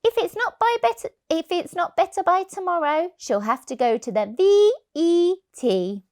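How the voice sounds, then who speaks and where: A woman voicing a concerned mother in a storybook, gentle but decisive, earnest and emphatic. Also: extremely high pitch, lively intonation, moderate pace, pitched above the performer's own voice.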